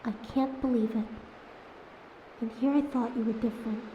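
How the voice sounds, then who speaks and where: A woman playing a young captive mermaid, proud but wounded and wary, speaking haltingly and emotionally in a fantasy audio drama. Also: a little high, steady tone, dark tone, lo-fi sound, lightly acted. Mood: hurt, accusing. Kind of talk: scripted fantasy audio roleplay. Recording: echoey tank-like reverb, faint ambience noise.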